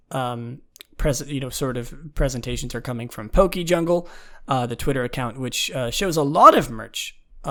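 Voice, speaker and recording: A man with a slightly high-pitched voice hosts a casual podcast. He comments on fan news informally, rambling and circling back over his words in a hesitant, halting way. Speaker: podcast host.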